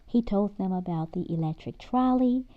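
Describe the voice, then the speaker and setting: A woman reading a children's story aloud as an expressive narrator. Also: medium pitch, moderate pace, phone-quality sound.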